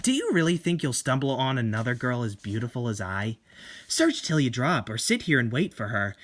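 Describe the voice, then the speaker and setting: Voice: medium pitch, very animated, brisk. A performer voicing a snobby, beautiful young woman in a horror story, haughty and theatrical, drawing out words. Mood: smug, coy.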